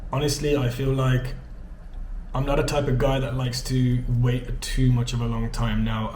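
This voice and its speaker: A man's voice: mid-range voice, darkish tone, reverberant, slight background noise, poor audio. A man from England with an English accent, chatting casually to camera in a vlog, rambling with many ums and restarts. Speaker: vlogger. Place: his home in Canada.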